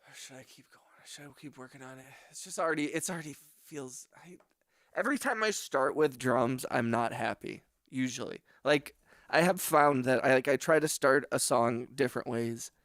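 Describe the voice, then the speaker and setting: A relaxed man talks to his chat during a late-night production stream, giving friendly shout-outs and wandering through half-finished thoughts. His voice sits at an ordinary middle pitch and sounds dry and close, with no room around it. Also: normal speed, no room sound. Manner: rambling, thinks aloud, often questions himself. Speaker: livestream music producer. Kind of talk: casual livestream chat. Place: home studio.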